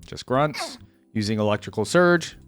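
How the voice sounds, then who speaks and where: A man's voice: medium pitch, animated, normal speed, a bit bright, faint music behind. A man giving casual, informal commentary as the host of an online game reaction video.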